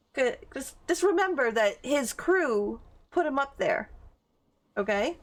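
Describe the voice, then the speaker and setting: A woman's voice: sits high, lively intonation, slow, pauses often. A woman giving casual walkthrough commentary as she plays a video game.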